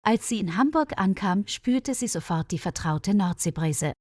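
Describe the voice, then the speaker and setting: A woman with a mid-range voice narrates a scripted story for language learners. Her delivery is measured, with each sentence clearly phrased. The recording is dry, with hardly any room around the voice. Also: medium pitch, animated, darkish tone, no echo. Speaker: narrator of German-learning stories.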